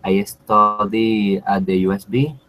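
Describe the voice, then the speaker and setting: Low-voiced man, twenty-one. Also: steady tone, slow, a bit bright, soft background music. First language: Spanish. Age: 20s. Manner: brief hesitant self-introduction. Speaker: student participant.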